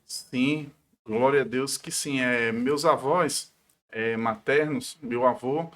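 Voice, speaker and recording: A man's voice: medium pitch, slow pace, fairly bright tone. A man of about forty, a pastor speaking devoutly as an interview guest, often adding short tag questions. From Paraíba, northeastern Brazil. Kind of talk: devout personal testimony in a conversational interview.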